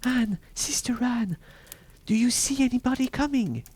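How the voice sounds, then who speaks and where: High, pleading frightened wife. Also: male voice, normal speed, darkish tone. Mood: desperate. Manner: high, anxious, pleading cries. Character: frightened, pleading young wife, desperate and tearful. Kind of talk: dramatic fairy-tale storybook reading.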